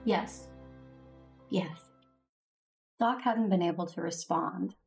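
Low female voice, earnest devoted Jedi heroine acted by a woman, first-person audio drama, music underneath. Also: slow, creaky. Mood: earnest, loving, then tense, pained.

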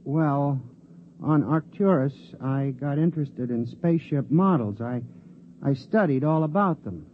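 An actor playing a timid, prissy bookkeeper in a 1950s radio drama, speaking hesitantly with small stammers. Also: male voice, fairly high pitch, measured pace, slight background noise, natural voice. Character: timid, prissy male bookkeeper, mousy and furtive. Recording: tinny old radio broadcast.

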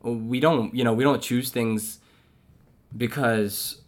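A man in his twenties hosting a podcast, talking in a slow, reflective, measured way that often trails off. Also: medium pitch, steady tone. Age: 20s. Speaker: podcast host. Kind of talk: casual chat.

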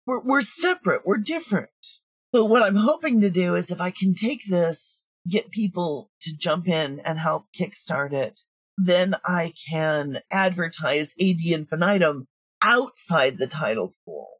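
A woman's voice: on the low side, expressive, unhurried, slightly rough. A woman speaking casually as an interview guest, frank, practical and emphatic. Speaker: guest, audio drama creator. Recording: roomy, dull, lo-fi audio.

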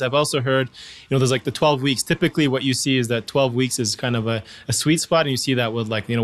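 A man hosts a podcast in a casual, encouraging way. He talks quickly and asks his questions with hesitant fillers. His voice sits in a middle register. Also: mid-range voice, fairly bright tone. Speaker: podcast host. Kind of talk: conversational podcast interview.

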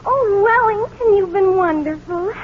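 A teenage girlfriend in a 1940s radio play, pitched extremely high. She is breathless and melodramatic, on a muffled, lightly noisy recording. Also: expressive, measured pace. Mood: gushing, anxious. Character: gushing, dramatic teenage girlfriend, quick to turn indignant. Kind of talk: 1940s radio drama acting.

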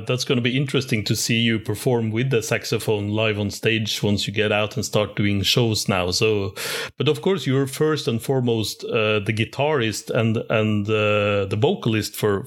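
A man's voice: lowish voice, a bit bright. A man interviewing casually, circling his way into long, wordy, enthusiastic questions.

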